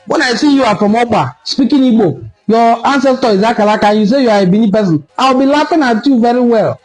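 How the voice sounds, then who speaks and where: A Nigerian man giving an impassioned solo commentary, lecturing forcefully and repeating points for emphasis. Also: very high-pitched, fast talker, rough recording. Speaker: online cultural commentator.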